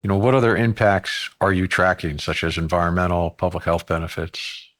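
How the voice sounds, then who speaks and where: Male podcast host, low-pitched, asks short, direct interview questions. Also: brisk, fairly bright tone.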